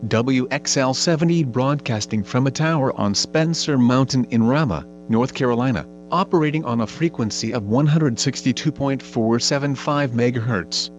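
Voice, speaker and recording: A synthetic man's voice reads an automated weather bulletin as a radio announcer, in a formal, measured, impersonal way. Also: mid-range voice. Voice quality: synthetic text-to-speech voice. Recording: dull lo-fi radio sound with mains hum.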